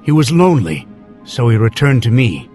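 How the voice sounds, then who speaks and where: A gruff, lonely old gardener, played by a man in an animated children's tale. He speaks bluntly and tersely, with lively rises and falls over faint music. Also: medium pitch, normal speed, natural voice. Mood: fond. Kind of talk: animated children's story acting.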